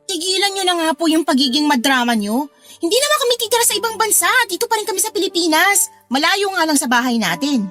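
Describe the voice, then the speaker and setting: Casting: defiant Filipino big sister for radio drama, shrill-high, lively swings, snappy. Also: female voice, fast, soft background music, heavily acted. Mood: defiant, irritated. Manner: snappy, sarcastic, dismissive. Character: brash, scornful, stubborn young mother and elder sister.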